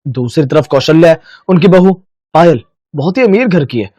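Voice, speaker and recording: A man playing a calm, knowing young husband who explains patiently in a scripted moral story. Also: on the high side, normal speed, no echo. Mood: explaining, serious. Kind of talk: scripted cartoon story dialogue.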